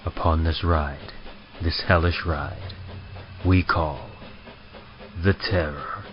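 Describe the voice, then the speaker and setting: A man playing an ominous train conductor, reciting slow theatrical rhymes in an old-time radio horror style. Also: very deep voice, fairly even intonation. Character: ominous deep-voiced male train conductor. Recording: dull sound with music behind.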